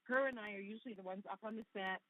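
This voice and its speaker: A woman's voice: medium pitch, rapid-fire, sharp tone, no room sound, poor audio. A woman from Canada whose first language is Hungarian, talking effusively as a guest actress in a casual interview.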